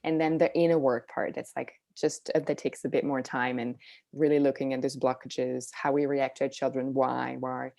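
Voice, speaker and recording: A woman's voice: extremely low pitch, level tone, normal speed, creaky, warm tone. A woman from Switzerland, an interview guest and parenting coach, explains things casually and informally, peppering her talk with "like" and "you know".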